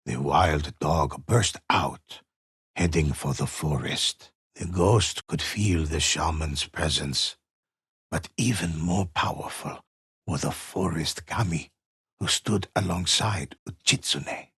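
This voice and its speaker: An actor playing a grave old male storyteller in a video game, telling ghost legends in a solemn, ominous, measured way with a gravelly, husky voice. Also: a bass voice, a bit bright, no room sound, studio quality, full character voice. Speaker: narrator.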